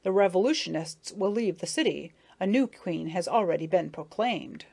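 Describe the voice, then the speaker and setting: A woman's voice: on the low side, animated, moderate pace, gravelly, a little mellow, slightly rough, no room sound, full character voice. A woman voicing a friendly, nervous young male drone bee in a storybook, speaking politely and earnestly. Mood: grave, earnest. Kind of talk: children's storybook character reading.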